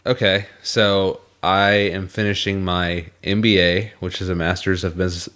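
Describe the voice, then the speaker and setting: A young man with a scratchy throat, co-hosting a casual podcast and explaining things in a measured way. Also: deep voice, flat delivery, a bit bright, smooth. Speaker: podcast co-host.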